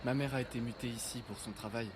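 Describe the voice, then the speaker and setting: A man's voice: medium pitch, steady tone, quick pace, a little hiss or hum, lightly acted. A man playing a shy teenage boy. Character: shy teenage boy, new in town, forgetful. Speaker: lead character. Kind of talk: fan audio drama acting. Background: music.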